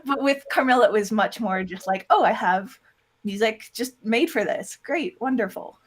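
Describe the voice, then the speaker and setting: An audio drama producer speaking casually as an interview guest, animated and often acting out little quoted lines. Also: medium pitch, moderate pace, breathy edge.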